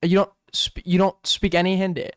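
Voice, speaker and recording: High-voiced, smooth streamer man. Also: level tone, fairly quick, no echo, clean studio sound. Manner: pressing, repeats words, talks over others. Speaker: streamer host. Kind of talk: heated casual debate.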